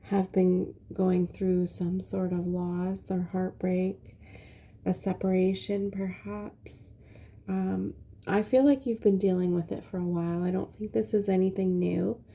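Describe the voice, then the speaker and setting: A woman with a stuffy nose giving a casual tarot reading, speaking softly and hesitantly with many pauses and fillers. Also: mid-range voice, creaky. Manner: soft, hesitant, many ums. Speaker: tarot reader.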